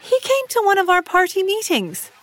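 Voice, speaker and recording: A proper, courteous mother of middle age in a radio play. Her high voice rises and falls freely, staying crisply formal and quietly determined. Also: wildly swooping pitch, normal speed, soft background music, heavily acted. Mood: polite, flustered. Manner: polite, prim, crisply formal. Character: polite, proper, determined conservative middle-class English mother. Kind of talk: audio drama acting.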